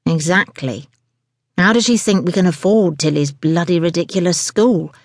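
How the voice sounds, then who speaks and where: A woman's voice: lowish voice, normal speed, no echo, studio quality. A woman voicing a weary, charming man in an audiobook, with a confiding manner. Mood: exasperated. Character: gloomy, put-upon, charming man, a television personality.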